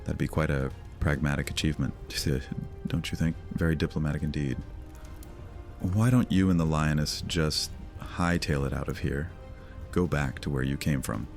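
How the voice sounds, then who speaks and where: A cruel vampire lord , voiced by a man, taunts and threatens with a sneer. His voice sits extremely low. Faint music plays behind him. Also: normal speed, vocal fry, a little mellow. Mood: taunting. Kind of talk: audio drama roleplay.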